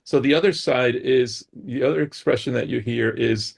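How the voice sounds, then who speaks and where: A middle-aged man with a gravelly, husky voice talks through ideas as a guest on a talk show. He keeps checking in with his listener by adding "right?" after his points. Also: mid-range voice, moderate pace. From United States. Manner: explains with frequent "right?" check-ins. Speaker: guest expert. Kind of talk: explanatory talk-show conversation.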